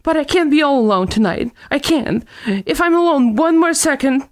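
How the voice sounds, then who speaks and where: High-pitched, frantic invalid wife. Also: female voice, lively intonation, moderate pace. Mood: distraught. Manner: imperious, anxious, melodramatic. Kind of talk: old-time radio thriller acting.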